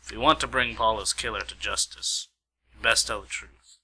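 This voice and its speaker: A man's voice: lowish voice, moderate pace, pushed and bright, slightly rough, heavily acted, low-quality recording. A man playing an earnest, questioning investigator in an amateur radio mystery. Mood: pressing. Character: earnest, questioning male investigator, detective's partner. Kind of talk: amateur radio play acting.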